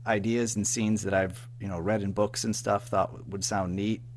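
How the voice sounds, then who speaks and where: A man speaking as an interview guest in casual chat, hesitant, halting and self-effacing as he talks about his own work. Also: on the low side, little pitch movement, slight background noise. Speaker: guest, audio drama writer and producer.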